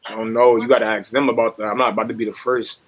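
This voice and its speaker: A young man with a husky voice talking casually in street slang and giving motivational advice over a video call. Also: on the low side, flat delivery, very fast, poor audio. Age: young adult. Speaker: stranger on a video chat, motivational poster.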